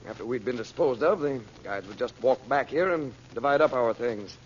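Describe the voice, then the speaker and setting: An actor playing a steady, commanding adventurer uncle in an old radio serial, speaking firmly and with authority. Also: male voice, medium pitch, normal speed, natural voice. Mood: grave, explanatory. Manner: firm, explanatory, authoritative. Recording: muffled, noisy old radio recording.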